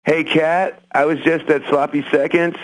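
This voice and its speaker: A man's voice: on the high side, flat delivery, moderate pace, natural voice, rough recording. A man playing an eager radio caller chatting casually with the DJ.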